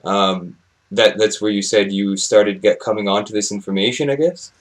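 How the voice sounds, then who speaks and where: A man hosting an interview show in a casual, halting and agreeable way. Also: lowish voice, fairly even intonation.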